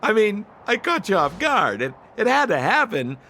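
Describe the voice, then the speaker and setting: A man's voice: sits high, sing-song, moderate pace, sharp tone, a little hiss or hum, exaggerated voice. A man playing a sly, theatrical, ingratiating treasure-hunting con man in a video game. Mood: wounded, theatrical.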